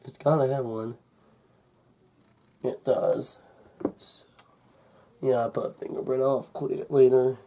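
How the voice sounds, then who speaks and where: A man from the United States, recovering from a cold and slightly congested, demonstrating a gadget in a halting, rambling way, muttering to himself. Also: medium pitch, fairly even intonation, creaky, warm tone, slight background noise. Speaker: gadget unboxing video maker. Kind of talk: casual demonstration while handling a device. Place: indoors at a wooden desk in a room. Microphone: camcorder held or set near the desk.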